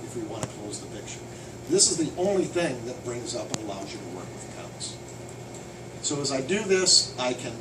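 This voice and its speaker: A man giving a casual, hands-on software training walkthrough, loud and emphatic on key points. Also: mid-range voice. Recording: roomy, distant, noisy air conditioning.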